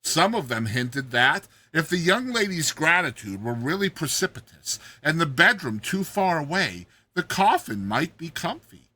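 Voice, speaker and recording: A man's voice: a little high, very animated, unhurried, few pauses, bright, forceful tone. A man narrating a short story as a dramatized audiobook reading, with theatrical, emphatic delivery.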